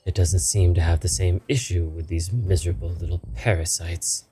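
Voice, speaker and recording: A man's voice: deep voice, fairly even intonation, normal speed, dark tone, close to an everyday voice. A man voicing an eerie creature that poses as a person, cold, condescending and mock-hurt, in a game read-aloud with music behind him. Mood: cold, sneering. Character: eerie male creature posing as a person, coldly taunting. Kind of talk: visual novel character read-aloud.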